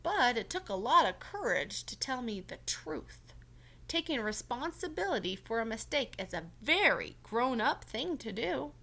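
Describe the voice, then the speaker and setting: A woman's voice: a little high, sing-song, a little slow, gravelly, bright, forceful tone, exaggerated voice. A woman voicing a cheerful, forgiving grown-up male mole in a children's story, in a reassuring manner. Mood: kindly, reassuring.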